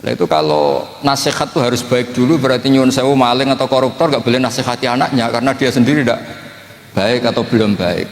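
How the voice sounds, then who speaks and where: A man's voice: medium pitch, expressive, fast talker. A middle-aged man with a Javanese accent giving an informal, reassuring sermon full of anecdotes. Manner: plainspoken, reassuring, code-mixes Javanese. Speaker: Islamic preacher (Gus). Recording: reverberant large hall.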